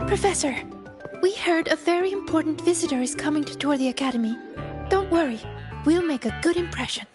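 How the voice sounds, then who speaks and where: An actress voices an eager young student who speaks in a high, bright voice and sounds keen to impress her professor. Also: fairly quick, over music, close to an everyday voice. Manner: eager, reassuring.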